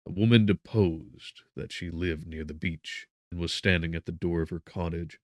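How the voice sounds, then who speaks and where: A man reading a gothic audiobook as narrator, in a measured, solemn, theatrical way. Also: deep voice, steady tone, dry recording, studio quality. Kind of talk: dramatic scripted narration of gothic fiction.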